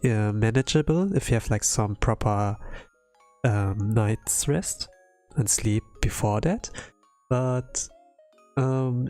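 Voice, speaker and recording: Tired guy from europe, english not his first language, rambling on stream w lots of pauses and fillers, faint music behind. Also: mid-range voice. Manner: hesitant, halting, filler-heavy with frequent "like". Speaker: livestreamer. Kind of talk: casual rambling livestream chat. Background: background music.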